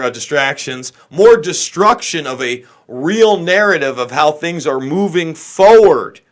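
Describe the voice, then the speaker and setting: A man's voice: a little high, a bit bright. An American man giving casual, unscripted political commentary as a show host, in a blunt, exasperated, opinionated way.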